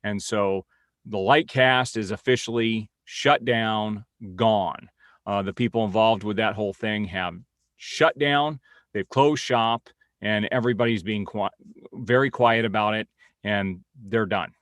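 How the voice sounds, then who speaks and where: A man's voice: fairly low pitch, unhurried, a bit bright, no echo, clean studio sound. A man hosting an informal news update and opinion vlog, speaking in a blunt, opinionated way. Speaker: host of a comic-book film news vlog.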